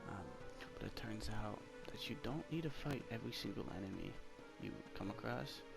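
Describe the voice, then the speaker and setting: Man, lowish pitch, fry in the voice, casual ASMR gaming commentary, hesitant, rambling. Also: expressive, fairly quick. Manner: rambling, hesitant, low-key. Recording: loud game music behind voice. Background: video game music and sounds.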